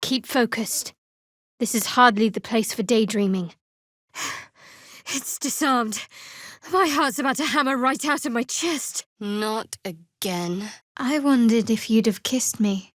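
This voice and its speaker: A young woman cleric devoted to a dark goddess in a fantasy video game. Her voice sits fairly high, with a guarded, wry edge and measured delivery. The sound is very dry and free of room echo. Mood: measured, intimate, then guarded, alert. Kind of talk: video game character voice lines.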